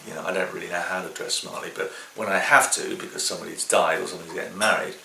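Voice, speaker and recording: A man's voice: low-pitched, steady tone, very fast, vocal fry, sharp tone. A man in his fifties, a carpenter, talking in a wry, self-deprecating way in a documentary interview. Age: 50s. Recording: echoey, distant, noisy room.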